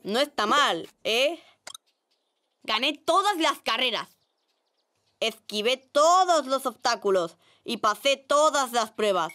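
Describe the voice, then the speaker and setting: A woman's voice: high voice, expressive, slow, sharp tone, dry recording, full character voice. A woman voicing an unathletic little boy in a children's cartoon, whiny or boastful. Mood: proud. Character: unathletic little boy, cocky yet easily defeated.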